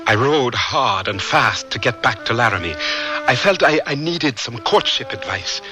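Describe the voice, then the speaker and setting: A man's voice: medium pitch, animated, moderate pace, over music, natural voice, low-quality recording. An actor playing an earnest, lovestruck young African frontiersman, sincere and a little hesitant, in a radio drama. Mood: earnest reminiscence. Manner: earnest, storytelling, hesitant at times. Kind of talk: radio drama narration and acting.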